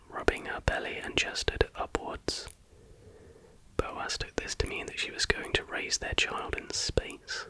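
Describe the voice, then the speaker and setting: A man telling stories and commenting in a soft, breathy ASMR whisper, with deliberate delivery. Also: low-quality recording. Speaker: ASMR host.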